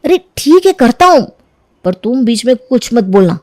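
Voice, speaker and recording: A woman's voice: on the high side, very animated, normal speed, a little mellow, dry recording, clean studio sound. A woman voicing a nervous, hesitant young man in love in a cartoon story. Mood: uneasy. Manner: hesitant, anxious. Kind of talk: Hindi cartoon moral story dialogue.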